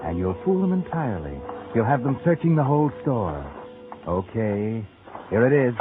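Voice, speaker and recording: A man's voice: medium pitch, very animated, moderate pace, lightly acted. An actor playing a sly, taunting narrator in a 1940s radio mystery, speaking in a sardonic, insinuating way as if he were a fugitive's own thoughts. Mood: urgent, coaxing. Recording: tinny vintage radio recording with loud music.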